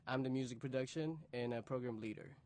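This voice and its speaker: A man's voice: mid-range voice, fast, a little mellow, a little hiss or hum. A man in his early twenties, a music program leader, recalling his story earnestly in an interview and repeating words as he goes. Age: 20s. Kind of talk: recorded interview recollection. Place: museum interview room.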